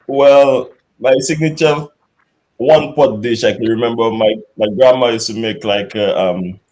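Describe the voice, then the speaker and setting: A man with a Dominican accent, a chef answering interview questions in a relaxed, reminiscing way. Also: mid-range voice, a little slow, low-quality recording. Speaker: chef guest.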